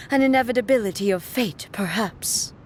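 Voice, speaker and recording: A solemn warrior goddess out of a video game, acted by a woman. She speaks slowly and formally in a grave, measured, mid-pitched voice, with faint noise behind her. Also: a little mellow, close to an everyday voice. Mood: solemn, admiring. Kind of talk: video game character acting.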